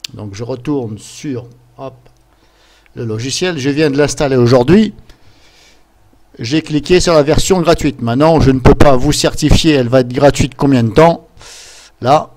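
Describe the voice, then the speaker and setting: A man presenting a casual screen-capture software tutorial, explaining things step by step in an informal, chatty way. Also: medium pitch, unhurried, long pauses. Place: voice-over recorded at a computer during screen capture.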